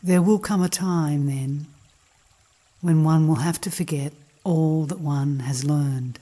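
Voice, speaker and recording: Very slow, mellow woman reading a meditation. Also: sits low. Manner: calm, measured, contemplative. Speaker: guided meditation reader. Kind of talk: slow guided meditation reading of spiritual teachings.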